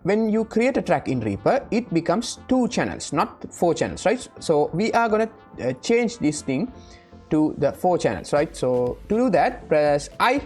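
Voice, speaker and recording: Low-pitched woman giving a software tutorial. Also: very animated, moderate pace, husky, faint music behind. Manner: frequently checks in with "right?". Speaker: tutorial host. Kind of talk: informal step-by-step software tutorial.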